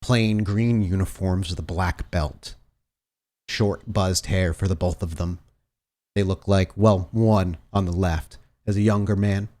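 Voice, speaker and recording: A man's voice: sits low, steady tone, measured pace, a little mellow. A man working as game master, narrating a tabletop game in dramatic, cinematic scene-setting descriptions.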